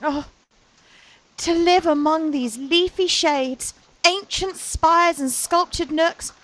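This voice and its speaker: A woman's voice: very high-pitched, slow, fairly bright tone, heavily acted, poor audio. A woman playing an innocent, fanciful young lady in a Victorian radio play, wistful and poetic. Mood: dreamy, wistful. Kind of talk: Victorian period radio acting.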